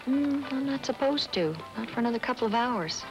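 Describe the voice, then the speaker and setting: An actress playing a housewife with a nagging headache in a 1960s television commercial. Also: female voice, on the high side, lively intonation, fairly quick, over music, poor audio. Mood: mildly complaining.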